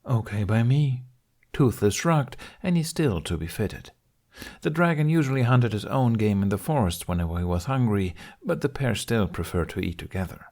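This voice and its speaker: A man's voice: on the low side, lively intonation, normal speed, darkish tone. A man reading a scripted story aloud as an audiobook narrator.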